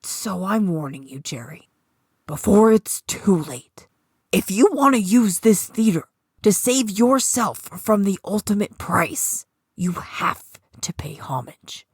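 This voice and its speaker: A woman's voice: medium pitch, expressive, slow, darkish tone, husky, no room sound, studio quality. Woman reading as a solemn old theatre ghost. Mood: grave warning. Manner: earnest, confessional storytelling. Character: strange old man, ghostly theatre founder, solemn and warning. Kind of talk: dramatic story reading.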